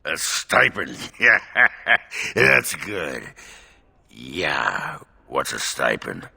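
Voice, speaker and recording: An actor playing a crude, boisterous, drunken dwarf warrior in a video game, with a gravelly, slurring voice. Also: male voice, extremely low pitch, wildly swooping pitch, drawn-out words, sharp tone, full character voice. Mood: rowdy, amused. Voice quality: gravelly, raspy.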